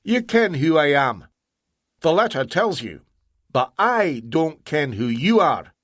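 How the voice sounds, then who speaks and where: A man's voice: on the high side, very animated, slow, fairly bright tone, no room sound, clean studio sound, pitched above the performer's own voice, heavily acted. Storybook role: a cunning Scottish engineer, dogged and wheedling, put on by a male narrator. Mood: gruff. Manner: broad dialect, stubborn and sly.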